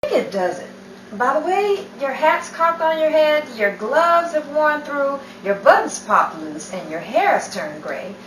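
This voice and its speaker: Woman acting teasing 1940s comedy sidekick, sardonic drawl, high lively voice, roomy noisy recording. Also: measured pace, full character voice. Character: wry, teasing woman friend of a house seller. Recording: roomy, distant mic, clear background noise.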